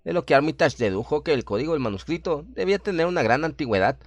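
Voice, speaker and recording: Expressive male horror narrator, dry sound. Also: a little high, fast talker, no echo. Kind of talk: scripted horror radio drama narration.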